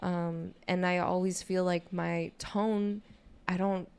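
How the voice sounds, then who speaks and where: A young adult woman with a naturally low voice chats casually on a podcast, speaking in a candid, informal way peppered with "you know". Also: little pitch movement, slow pace, darkish tone, smooth. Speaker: podcast co-host. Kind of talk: casual conversational podcast chat.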